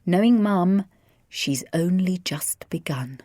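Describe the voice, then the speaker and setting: A woman voicing a level-headed young woman who speaks quietly and dryly. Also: mid-range voice, moderate pace, no echo, clean studio sound, lightly acted. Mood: wry.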